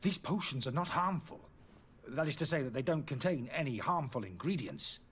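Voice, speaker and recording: An actor playing a disgraced, indignant alchemist in a fantasy video game, pleading and justifying himself theatrically in a slightly husky voice. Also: male voice, on the high side, lively intonation, normal speed, fairly bright tone. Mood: defensive, agitated. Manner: agitated, defensive, theatrical delivery.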